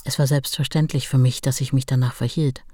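An actress playing a writer's devoted, long-suffering wife in a radio play, recollecting plainly and matter-of-factly. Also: female voice, a bass voice, steady tone, fairly quick, noisy background. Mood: resigned.

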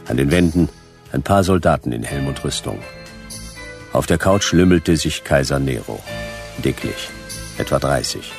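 A hard-boiled sleuth telling his case in a noir radio play. His voice is extremely low and gravelly, his delivery clipped and deadpan, over faint music. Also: moderate pace, pauses often, exaggerated voice. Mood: wry. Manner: terse, dry, deadpan. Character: laconic, hard-boiled male private detective. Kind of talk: noir radio drama narration and dialogue.

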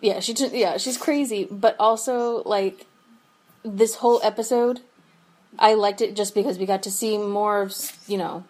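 A woman's voice: mid-range voice, fairly even intonation, moderate pace, a little mellow. A young woman co-hosting a podcast over a remote call, chatting casually and giggling often, with lots of fillers. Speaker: podcast co-host. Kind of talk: casual chat.

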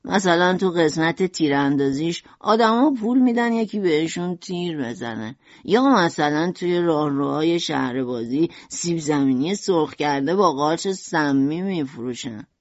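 Storybook part: woman voicing a gloomy young man of twenty, low and brooding. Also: expressive, no echo. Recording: dull lo-fi audio.

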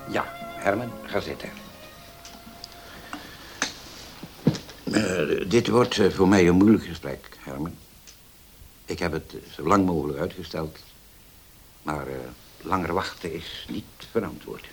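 An actor in a radio play as a grave, troubled widowed father, speaking haltingly and solemnly with long pauses. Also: sits low, soft background music. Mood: grave, hesitant. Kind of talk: Dutch radio play acting. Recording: hissy old radio play recording.

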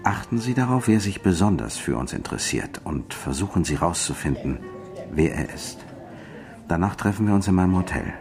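Very deep-voiced, secretive client. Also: male voice, lively intonation, moderate pace, music in the background. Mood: deliberate, instructing. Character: male client briefing a detective, secretive and commanding. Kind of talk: noir radio play dialogue.

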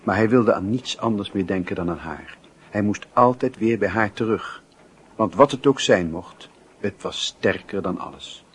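A man narrating a literary radio drama in a measured, solemn storytelling manner. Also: fairly low pitch, close to an everyday voice. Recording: noisy old radio drama recording.

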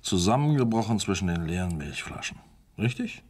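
An actor playing an unruffled village police inspector in a German radio crime drama, polite and dryly sceptical. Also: male voice, sits low, big pitch swings, a little fast, darkish tone, slightly rough, exaggerated voice.

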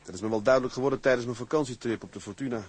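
A man's voice: mid-range voice, rapid-fire, a little mellow, slightly rough, natural voice. A man playing an anxious young sailor in a Dutch radio drama, speaking hesitantly and repeating himself. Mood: worried. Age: young adult. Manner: hesitant, stammering repetitions. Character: anxious young sailor studying for officer's exam.

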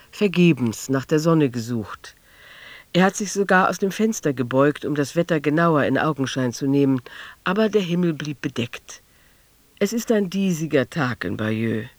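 A man narrating a literary radio drama in a deliberate, observational manner. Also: on the high side, no room sound.

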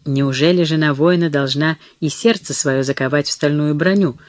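A reader voicing a passionate, wounded young wife. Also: female voice, deep voice, normal speed, no room sound, close to an everyday voice. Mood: indignant. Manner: pleading, indignant.

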